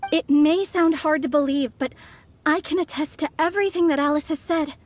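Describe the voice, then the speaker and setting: An earnest young female scholar from a fantasy game, performed by an actress. She has a distinctly high voice and speaks with polite, composed, articulate phrasing. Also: fairly quick, slight background noise, natural voice. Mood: respectful, earnest. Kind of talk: scripted video game voice acting.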